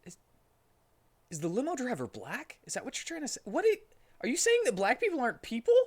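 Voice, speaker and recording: A man reading amateur fiction aloud as a streamer, in a mock-serious, animated comic style. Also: very high voice, a little fast, no echo.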